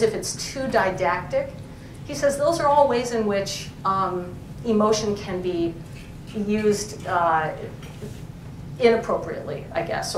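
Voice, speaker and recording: A woman of middle pitch discusses her reading in a relaxed, self-interrupting way at a library talk. She sounds roomy and far from the mic, with faint noise behind her. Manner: chatty, self-interrupting, many fillers. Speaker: professor, co-editor. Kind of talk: conversational academic talk. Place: library event room. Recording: echoey room, distant mic, faint noise.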